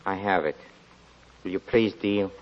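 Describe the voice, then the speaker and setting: A man playing an obsessed, murderous gambler lieutenant going mad, stammering and repeating himself, in 1940s radio drama style. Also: deep voice. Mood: tense. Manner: halting, stammering, repetitive, raving. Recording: dull 1940s radio recording, audience noise.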